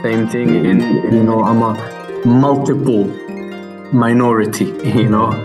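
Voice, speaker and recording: A man in his thirties from Finland, answering interview questions thoughtfully and pausing often with fillers. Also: medium pitch, fairly even intonation, music in the background. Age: 30s. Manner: thoughtful, with many fillers and restarts. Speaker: guest.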